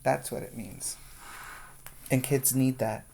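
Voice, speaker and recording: A male teacher reading a picture book aloud to preschoolers, pausing to ask the children questions. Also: mid-range voice, vocal fry, dark tone, a little hiss or hum.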